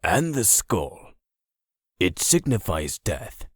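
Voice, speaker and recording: A grave comic-book narrator voiced by a man. His voice is low and slow, with dramatic rises and falls. The scripted narration is recorded dry and close. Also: big pitch swings, slightly rough, no room sound, full character voice. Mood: grave, suspenseful.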